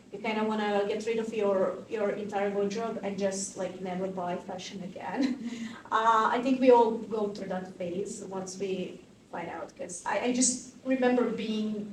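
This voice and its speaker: A woman from Croatia in her thirties, a fashion activist answering interview questions in an animated, thinking-aloud way with many fillers. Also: medium pitch. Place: conference venue. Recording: distant mic in an echoey room with faint noise.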